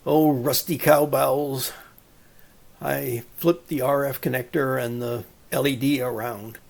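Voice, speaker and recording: A man's voice: mid-range voice, steady tone, takes it slowly, gravelly, darkish tone. A man narrating a DIY electronics explainer in a plain-spoken, methodical and mildly folksy manner. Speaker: electronics hobbyist presenter.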